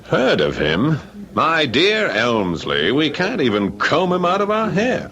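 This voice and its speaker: A man's voice: on the high side, wildly swooping pitch, measured pace, a crowd in the background, heavily acted. An actor in an old radio drama playing a flippant, gossipy embassy man with drily exasperated, emphatic delivery. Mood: amused disdain.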